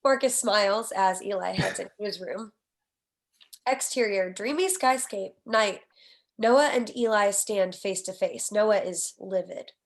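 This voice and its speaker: A woman serves as narrator for a script read. Her voice is average in pitch, and she delivers scene headings and action lines as scripted narration. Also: measured pace. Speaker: stage direction reader.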